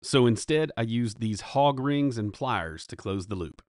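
A man's voice: mid-range voice, expressive, measured pace, darkish tone, no room sound, studio quality. A man, hosting a woodworking channel in an upbeat, jokey how-to manner from his home workshop. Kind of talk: scripted workshop narration.

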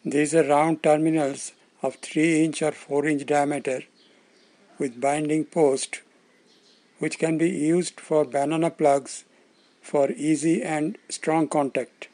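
Man, fairly high voice, scripted how-to narration, plain explanatory delivery, short measured phrases. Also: fairly even intonation, dark tone. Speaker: tutorial presenter.

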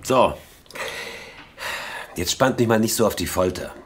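A man's voice: lowish voice, unhurried, a little hiss or hum, natural voice. A man playing a hungover, breezy police detective in a crime drama, loose and colloquial with a groaning manner. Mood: groggy, self-satisfied. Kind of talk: naturalistic audio drama dialogue.

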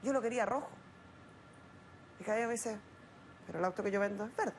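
A woman's voice: medium pitch, fast, slight background noise. A Chilean woman in her thirties acting as a TV show judge, lecturing bluntly with rhetorical questions in a studio. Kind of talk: stern courtroom lecturing. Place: TV studio set.

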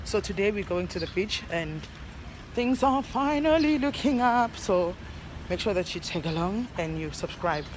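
A woman's voice: mid-range voice, animated, moderate pace, slight background noise, low-quality recording. Chatty South African woman vlogging. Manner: chatty, rambling, self-correcting. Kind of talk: casual vlog chat to camera, mixing English and Zulu. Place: outdoors in a parking lot. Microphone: phone camera at arm's length.

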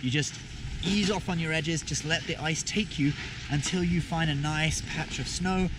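A man's voice: fairly high pitch, moderate pace. A young man giving ski lessons on a slope, talking casually into an action camera with many fillers and checks. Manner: relaxed, talks while skiing, fillers and "okay?" checks. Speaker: ski instructor. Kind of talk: instructional vlog. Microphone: action camera on a pole. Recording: loud wind noise outdoors.

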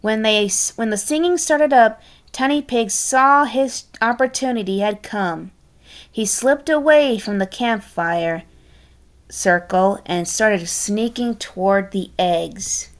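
A woman reading a children's story aloud in an expressive, halting way, with casual chatty asides. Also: fairly high pitch. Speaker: storybook reader.

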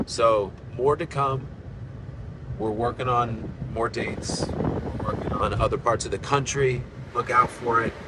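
A man in his forties hosting a casual podcast from inside a moving car, talking in a relaxed, upbeat way. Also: medium pitch. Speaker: podcast host and band frontman. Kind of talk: casual chat. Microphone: phone at arm's length. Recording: loud road noise, slightly distant phone mic. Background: road and engine noise.

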